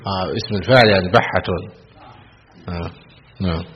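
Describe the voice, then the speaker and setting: A man's voice: deep voice, fairly quick. A man from Egypt giving a religious lesson as a scholar, explaining legal points didactically and repeating phrases for emphasis. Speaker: Islamic scholar teaching a class. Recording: dull, noisy lo-fi classroom recording with clicks.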